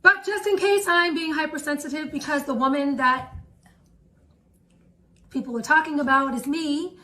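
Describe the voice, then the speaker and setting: High, bright-voiced emphatic woman. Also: normal speed. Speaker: mother questioning her son on video. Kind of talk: pointed social media video talk.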